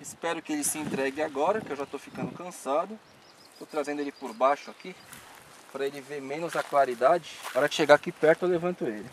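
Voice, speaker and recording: A man's voice: fairly high pitch, fast talker, background noise. Man narrating his fight with a fish outdoors. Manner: explanatory, coaxing the fish, enthusiastic. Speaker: fishing show host. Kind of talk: live commentary while fishing. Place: outdoors at a rural pond bank. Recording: outdoor rural ambience.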